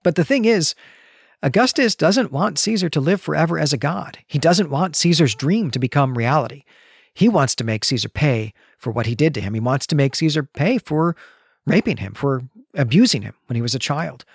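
A man co-hosting a literary podcast, explaining and analysing in a measured, articulate way. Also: on the high side, expressive, vocal fry, slightly rough, no room sound, studio quality. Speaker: podcast host.

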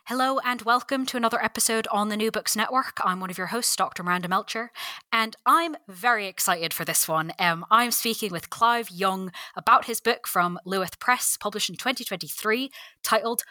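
Woman, interview host, crisp bright tone, enthusiastic delivery, mid pitch, dry recording. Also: normal speed, no echo. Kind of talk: scripted podcast introduction and interview.

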